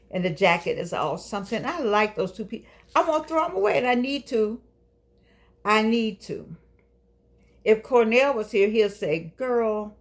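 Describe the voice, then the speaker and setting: A woman's voice: mid-range voice, vocal fry. An older woman hosting her own show, talking in a playful, preachy, coaxing way and drawing out phrases for effect. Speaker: host. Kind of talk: casual talk show monologue. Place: home studio with keyboard.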